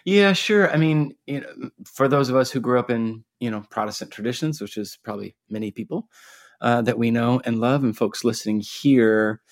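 A man's voice: medium pitch, moderate pace, dry recording, studio quality. A man from Guatemala answering interview questions in an easygoing, modest way. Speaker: guest theologian.

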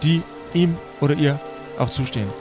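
A man acts as game master on an improvised fantasy roleplay podcast. His voice is a bit higher than average and his manner is playful and joking. Quiet music runs behind him. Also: moderate pace. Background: faint music.

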